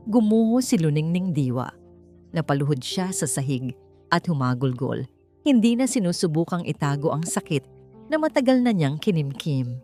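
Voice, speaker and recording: A woman with a fairly low voice narrates a dramatic audiobook story over music. Her delivery is measured and emotive, moving along in short phrases. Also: mellow.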